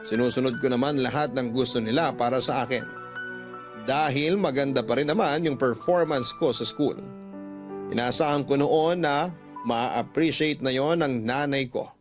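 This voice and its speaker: A man's voice: medium pitch, moderate pace, natural voice. A man with a Filipino accent reading a wistful first-person confession as a radio drama narrator. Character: narrator reading a hurt letter sender's confession. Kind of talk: scripted first-person storytelling, reading a letter. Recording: prominent music bed behind the voice.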